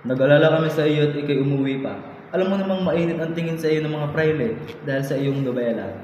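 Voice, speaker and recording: A man's voice: fairly high pitch, fast, darkish tone, close to an everyday voice. A man playing a stern, protective father in an amateur radio drama, scolding with emphatic, formal delivery. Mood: worried relief. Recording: echoey hall, dull sound, faint noise.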